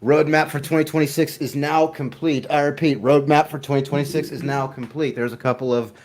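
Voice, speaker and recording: A man's voice: a little high, steady tone, fairly quick, darkish tone. A man co-hosting a casual gaming stream, laughing often and tripping over his words while he chats about himself. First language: English. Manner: laughing, self-deprecating, stumbles over words.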